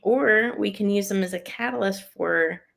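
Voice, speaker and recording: A woman's voice: mid-range voice, moderate pace, creaky, fairly bright tone. A woman hosting a conversational interview in an effusive, encouraging manner. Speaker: interview host.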